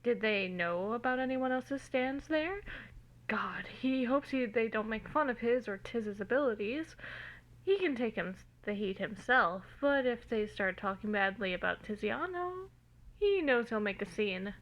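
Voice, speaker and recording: A woman's voice: on the high side, animated, a little slow, poor audio. A woman giving an informal dramatic reading of a story, stumbling over words now and then, recorded on a phone. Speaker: amateur reader of fan fiction.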